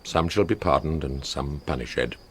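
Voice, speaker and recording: A grave prince in a shakespeare radio play, very low authoritative voice, some noise and crickets behind. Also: male voice, normal speed. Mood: solemn. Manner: authoritative, formal, declamatory. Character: commanding, stern male prince passing judgement. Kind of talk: classical Shakespearean radio drama acting.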